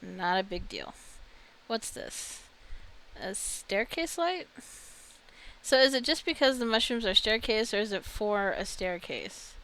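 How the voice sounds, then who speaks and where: A woman's voice: mid-range voice, lively intonation, a little slow, fairly bright tone, low-quality recording. A woman giving casual video game commentary, chatting and thinking aloud as she reacts to what she finds. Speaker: video game streamer and host.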